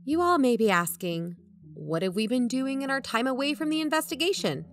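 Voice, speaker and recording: A woman's voice: sits high, expressive, brisk. A woman playing an earnest, dry-humoured investigator who co-hosts a podcast, narrating in a plain-spoken, conversational way. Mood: reflective. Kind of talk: conversational scripted audio drama narration. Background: background music.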